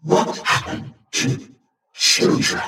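A man playing an eerie, halting creature-like being in a radio play, speaking in terse, broken phrases. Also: bright, forceful tone. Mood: pleading, menacing. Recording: distant, heavily echoing voice.

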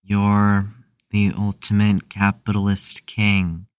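A man's voice: fairly low pitch, completely flat delivery, takes it slowly, smooth. A man playing a shy, guarded, awkward young man who stammers hesitantly in an audio drama. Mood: flat.

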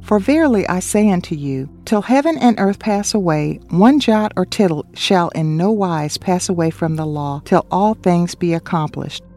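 Low, mellow woman reading scripture. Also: animated, soft background music. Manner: measured, reading out scripture citations. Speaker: radio ministry co-host. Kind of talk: scripted Bible study reading.

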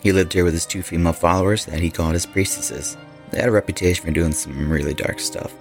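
Guy playing a chill rocker into dark occult stuff, low smooth-talking voice, faint music under it. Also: steady tone, quick pace. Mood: intrigued. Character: laid-back male rock musician, curious about the occult. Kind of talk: audio horror drama acting.

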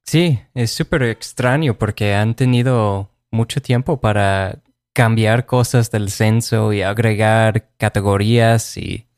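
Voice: mid-range voice, slow, darkish tone, dry recording, studio quality. A co-host in casual conversation, agreeable, asking questions that move the talk along.

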